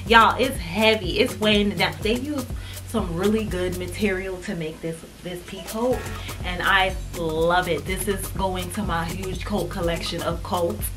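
A woman's voice: on the low side, fairly even intonation, measured pace, over music. A young woman chatting emphatically to her viewers in a casual try-on haul, calling them "y'all". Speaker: fashion vlogger. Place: indoors in front of a painted wood backdrop.

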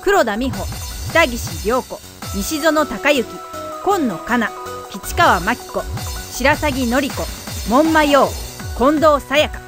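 A woman's voice: sits high, lively intonation, slow. A woman reading a scripted promotional announcement for an event. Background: background music.

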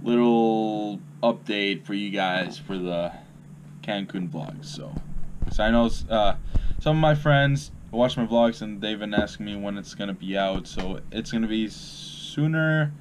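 A man's voice: fairly low pitch, measured pace, background noise. A young man talking casually to a close action camera, rambling with lots of fillers and restarts, first in his bedroom and later while driving his car. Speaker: vlogger. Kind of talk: casual vlog talk to camera. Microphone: action camera held close.

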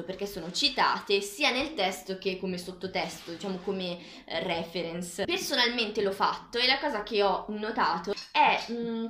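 A woman in her early twenties giving a casual, halting review to camera in a kitchen. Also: medium pitch, sharp tone. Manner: halting, pausing mid-thought. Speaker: vlogger reviewing a book. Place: small tiled kitchen, indoors. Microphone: camera across the table. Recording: echoey tiled kitchen.

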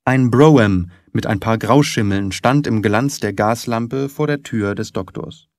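A man's voice: fairly low pitch, unhurried, no room sound. Man narrating a literary audiobook, dry sound. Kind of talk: scripted literary narration with character dialogue.

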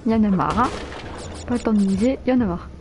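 A woman's voice: mid-range voice, brisk, background noise. A nineteen-year-old woman, chatting playfully with viewers while playing a game live, flustered and teasing. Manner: playful, bantering, easily flustered. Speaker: streamer. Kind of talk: live gameplay chat with viewers.

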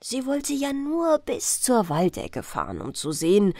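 Slow, very animated woman storyteller. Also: on the high side, no room sound, clean studio sound. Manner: expressive, measured storytelling. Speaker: audiobook narrator. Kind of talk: scripted fairy-tale narration, bedtime audiobook.

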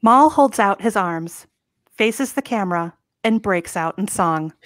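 A woman reads scripted stage directions for a comedy. Her pitch is medium and her pace slow, each phrase set out straight-faced. The sound is close and dry. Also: expressive, a bit bright, no echo. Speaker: narrator reading stage directions. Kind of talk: scripted narration in a comic table read.

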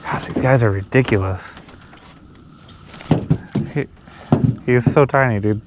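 A man's voice: medium pitch, a little slow. Laid-back young man fishing from a boat. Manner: laid-back, says dude and bro. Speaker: fishing vlogger. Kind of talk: casual outdoor chat. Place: small boat on a river, outdoors. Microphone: action camera on the boat. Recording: action camera with clear wind and water noise.

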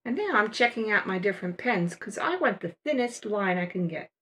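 An older woman narrating a watercolor painting demonstration as a voice-over, explaining each step in a relaxed, unhurried way. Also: mid-range voice, a little hiss or hum. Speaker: watercolor art teacher.

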